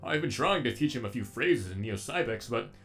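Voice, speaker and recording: A man's voice: medium pitch, expressive, a little fast, creaky, a bit bright, soft background music. A man voicing a sadistic, erudite robot villain with an eloquent, menacingly polite delivery, as in a comic dub. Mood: mocking, menacing.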